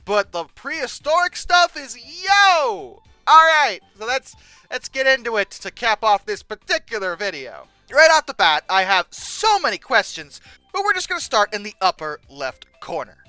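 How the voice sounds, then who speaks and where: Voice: mid-range voice, very animated, moderate pace, sharp tone, smooth, dry recording, faint music behind. A video host giving casual, humorous commentary in a sarcastic, exasperated manner with dramatic emphasis.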